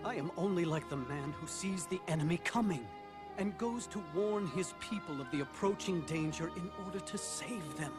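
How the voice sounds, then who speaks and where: An actor playing an earnest believer who solemnly narrates a religious animated film, with film music behind him. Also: male voice, fairly high pitch, moderate pace, natural voice. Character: earnest male follower of a prophet, storytelling narrator.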